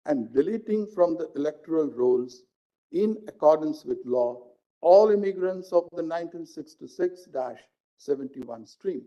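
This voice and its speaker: An Indian man reads a court judgment in a formal, measured way. His voice is very soft-edged and dark, pitched a little high for a man. The audio is dull and lo-fi, like a live stream. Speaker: judge reading out a judgment. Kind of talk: formal reading of a legal judgment.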